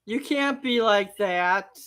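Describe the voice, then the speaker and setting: A woman's voice: mid-range voice, slow, a bit bright. Woman host losing her voice. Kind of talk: casual phone-in chat.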